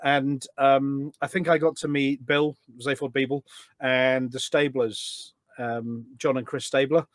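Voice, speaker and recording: A man's voice: medium pitch, little pitch movement, a little slow, no echo. A middle-aged man chatting casually as a podcast guest, enthusiastic and full of anecdotes. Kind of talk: casual chat. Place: indoors at a desk at home.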